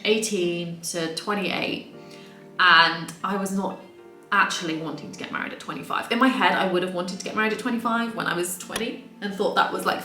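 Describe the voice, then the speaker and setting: Woman, late twenties, candid and exasperated, crisp bright voice, roomy echo. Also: mid-range voice. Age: late 20s. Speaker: vlogger. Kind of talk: casual get-ready-with-me monologue.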